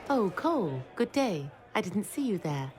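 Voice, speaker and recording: A woman's voice: medium pitch, big pitch swings, measured pace, darkish tone, some chatter behind. An actress playing a kindly, serene, gracious female singer in a video game. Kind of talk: video game dialogue acting.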